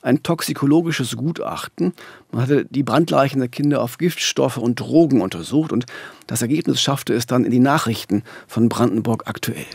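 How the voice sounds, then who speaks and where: A man's voice: medium pitch, normal speed, gravelly, a little mellow, husky, no room sound. A middle-aged man narrating a true-crime podcast as co-host at a studio microphone. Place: small radio studio. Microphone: studio microphone, headphones.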